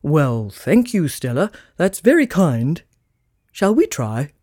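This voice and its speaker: A woman's voice: low-pitched, sing-song, slow pace, mellow, no room sound, studio quality, voice pushed down, full character voice. A woman voicing a kindly, fretful old male Santa in a children's storybook with fussy, old-fashioned phrasing. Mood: polite.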